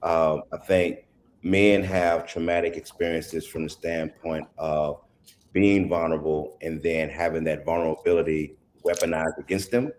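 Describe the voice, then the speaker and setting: A man on a discussion panel, speaking in a measured, analytical way. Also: very deep voice, steady tone, a little mellow, a little hiss or hum.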